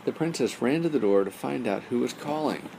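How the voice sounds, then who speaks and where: A man's voice: mid-range voice, expressive, brisk, creaky, darkish tone, slight background noise, poor audio. A man reading a bedtime story as storyteller, speaking simply as if to young listeners.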